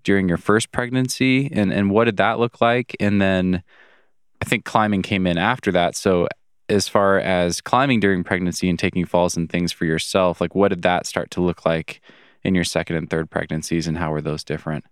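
A man's voice: sits low, steady tone, moderate pace, clear, resonant tone, no room sound, clean studio sound. A man hosting a podcast interview, asking long, loosely phrased questions in a casual way. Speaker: podcast host.

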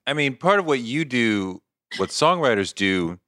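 A man's voice: medium pitch, big pitch swings, measured pace, sharp tone, no echo, studio quality. A man hosting a podcast interview, asking thoughtful, probing questions in a casual tone. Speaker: podcast host.

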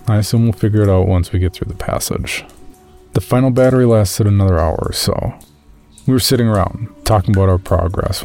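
A man's voice: deep voice, gravelly, dark tone. A man playing an amateur caver, reading his uneasy journal as first-person horror story narration.

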